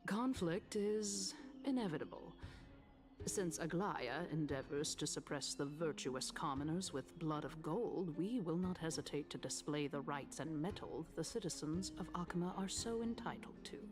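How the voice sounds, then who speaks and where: An actress voicing a composed, courtly female politician in a fantasy video game, speaking in formal, measured oratory with veiled menace. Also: deep voice, expressive, music in the background. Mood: defiant, oratorical.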